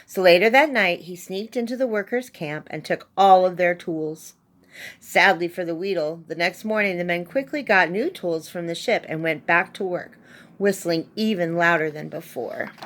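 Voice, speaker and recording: A woman's voice: on the low side, fairly bright tone. A woman reading a children's story aloud as narrator, expressively and with dramatic emphasis on key words. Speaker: storybook reader.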